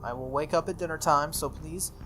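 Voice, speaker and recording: A man's voice: on the high side, fairly quick, close to an everyday voice. A man voicing a stoic, dutiful young female sword-wielding servant from a visual novel, formal and matter-of-fact. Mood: solemn, firm. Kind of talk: visual novel character read-aloud. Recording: music under the voice.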